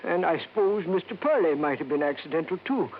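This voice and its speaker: A man's voice: on the high side, expressive, fairly quick. An actor playing a wizened, folksy, insistent old American man in a wheelchair, in 1950s radio drama style, with clipped, hesitant phrasing. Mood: grave, troubled. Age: older. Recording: dull, noisy old radio recording.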